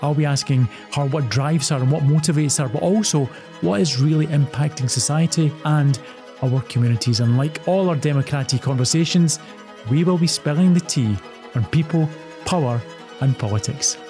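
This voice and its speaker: A man's voice: fairly high pitch, moderate pace, warm tone, faint music behind. A man, an actor and presenter, interviewing a guest on a podcast, encouraging her and often repeating himself as he goes. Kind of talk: podcast interview, scripted intro then casual chat.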